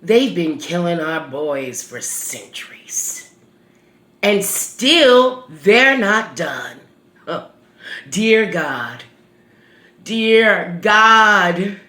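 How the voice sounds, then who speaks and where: A haunted, grieving mother, played by a woman delivers a dramatic monologue. The delivery is slow, halting and weighty. The voice sits in a roomy, echoing space. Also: medium pitch, fairly bright tone. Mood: anguished. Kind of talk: stage monologue performed on video.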